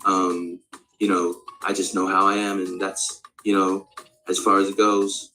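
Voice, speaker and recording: A male speaker of around forty from Australia, practising an American accent in a rambling, halting, candid and sweary casual monologue. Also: sits low, monotone, pushed and bright, a little hiss or hum, low-quality recording. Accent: American, put on over native Australian. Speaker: actor introducing themself.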